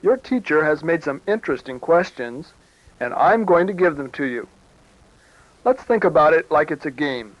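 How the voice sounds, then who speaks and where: A man's voice: fairly high pitch, animated, moderate pace, natural voice. A man playing a friendly, encouraging professor who talks simply and reassuringly to children on a 1970s educational cassette. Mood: friendly, upbeat. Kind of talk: scripted children's educational tape. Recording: muffled, dry 1970s cassette tape.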